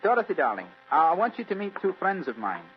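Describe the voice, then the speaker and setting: An eager, well-mannered young gentleman, with a fairly high voice and lots of lilt. He speaks earnestly in a vintage radio play on a dull recording. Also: huge pitch swings, fast, soft background music. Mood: earnest, happy. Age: young adult. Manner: polite, earnest. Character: earnest, polite young heir, newly engaged. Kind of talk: old-time radio drama acting.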